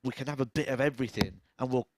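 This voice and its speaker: A man gives eager, opinionated co-commentary on a darts match in a middle-range voice. Clear background noise runs through the live feed behind him. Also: mid-range voice, fast talker. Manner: enthusiastic opinionated analysis.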